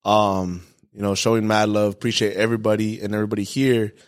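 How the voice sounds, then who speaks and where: A young man hosting a casual podcast, slangy and relaxed, giving shout-outs and asking questions. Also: on the low side, fast. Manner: laid-back, slangy, gives shout-outs. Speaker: podcast host.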